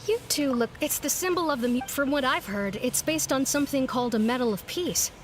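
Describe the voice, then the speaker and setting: A woman's voice: sits high, fairly quick, a little hiss or hum, lightly acted. An actress playing a friendly, chatty female townsperson in a video game. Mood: friendly, informative.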